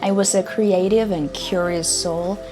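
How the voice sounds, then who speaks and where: A woman's voice: on the low side, fairly even intonation, unhurried, music in the background. A woman in her twenties from Norway with a Norwegian accent, narrating her own story in an earnest, upbeat way. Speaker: vlogger. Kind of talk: scripted personal narration.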